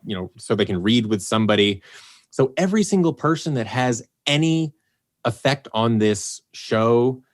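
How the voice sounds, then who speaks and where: A man, a voice actor and producer, talking as a guest on a podcast and telling stories in a lively way. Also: lowish voice. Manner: animated storyteller, acts out quotes. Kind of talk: casual podcast conversation.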